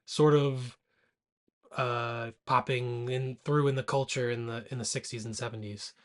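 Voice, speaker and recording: A man's voice: medium pitch, fairly even intonation, a little slow. A man co-hosting a podcast, discussing movies informally and rambling as he corrects himself mid-sentence. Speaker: podcast co-host.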